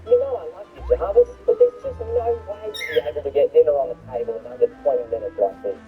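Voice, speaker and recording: A woman's voice: very deep voice, wildly swooping pitch, fast, recorded from a distance. A woman game streamer talking over muffled audio. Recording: muffled dull audio, faint music.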